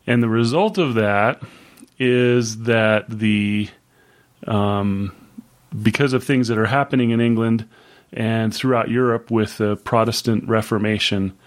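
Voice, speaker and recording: A man in his fifties co-hosting a book podcast, talking in a casual, rambling way with many pauses and fillers. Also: medium pitch. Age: 50s. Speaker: podcast co-host.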